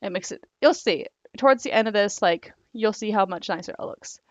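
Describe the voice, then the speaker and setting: A young woman hosting a casual digital hair drawing tutorial, rambling with lots of fillers and apologetic asides, her voice croaky because she is losing it. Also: medium pitch, brisk, no echo, muffled recording. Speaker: digital art tutorial host.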